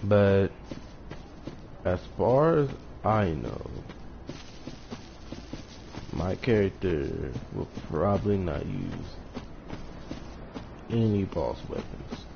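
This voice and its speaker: Gravelly, very deep-voiced man, hoarse. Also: expressive, extremely slow, long pauses. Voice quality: raspy. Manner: rambling, offhand, trails off quietly. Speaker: game streamer. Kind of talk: casual live-stream commentary. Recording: lo-fi stream audio with loud noise.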